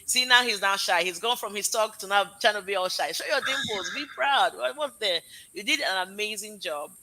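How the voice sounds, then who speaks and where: A woman with a Nigerian accent blended with American tones hosts a casual live stream. Her voice is intensely bright and sharp. She pours out effusive, heartfelt thanks and rambles warmly from one guest to the next. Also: medium pitch, normal speed, poor audio. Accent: Nigerian with American mix. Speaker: live show host, parent.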